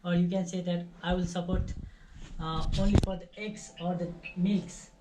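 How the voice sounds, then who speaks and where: A woman in her early twenties from Nepal with a Nepali accent, explaining things to visitors informally in halting, non-native English. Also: fairly low pitch, fairly even intonation. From Nepal, near the Indian border. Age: early 20s. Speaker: orphanage staff member. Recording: noisy amateur video sound.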